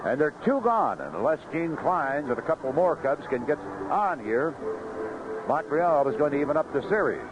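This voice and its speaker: A man's voice: on the high side, big pitch swings, moderate pace. Man calling baseball on noisy vintage radio. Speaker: baseball play-by-play announcer. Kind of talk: live radio baseball commentary. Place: outdoor ballpark broadcast booth. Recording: dull, noisy vintage 1970s radio broadcast.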